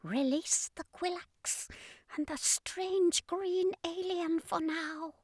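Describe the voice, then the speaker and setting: A woman voicing a male octopus-like alien commander in a slow, drawn-out, imperious way, in a story. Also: extremely high pitch, a little mellow, dry recording, clean studio sound, voice pushed up. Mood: commanding.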